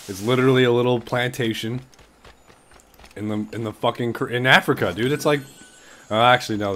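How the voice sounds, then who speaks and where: A young man with a deep voice giving casual, excitable gameplay commentary from his bedroom, swearing a lot and calling everyone dude. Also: a little hiss or hum. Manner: excitable, swears constantly, says "dude" and "bruh". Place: small bedroom.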